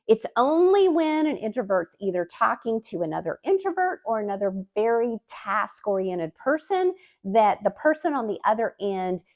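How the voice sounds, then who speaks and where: A woman explaining straight to camera in the manner of a communication coach. Her pitch swings widely as she talks, landing on key words with emphatic rises. The delivery is scripted but expressive. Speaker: YouTube host and communication coach.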